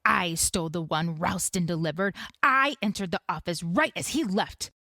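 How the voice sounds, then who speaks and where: A woman's voice: lowish voice, normal speed, sharp tone, dry recording, clean studio sound. A woman voicing a glamorous, dangerous villainess in an audio drama, haughty and taunting. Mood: guarded. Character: glamorous femme fatale villainess, imperious, mocking, dangerous.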